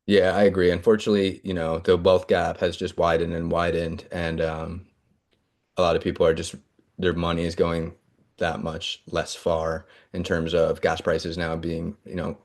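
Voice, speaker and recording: A man with a very low, flat voice that barely rises or falls. He answers at length in an earnest, halting way, padding his points with fillers and restarting his sentences. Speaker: congressional candidate. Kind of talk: campaign interview answers.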